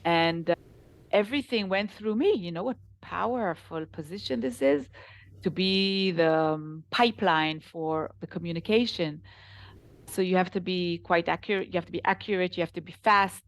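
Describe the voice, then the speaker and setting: A woman with an Israeli accent, a translation company founder, chatting in an interview and telling animated stories with laughs. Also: fairly low pitch, moderate pace. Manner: animated storytelling, laughs while talking. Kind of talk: casual interview conversation.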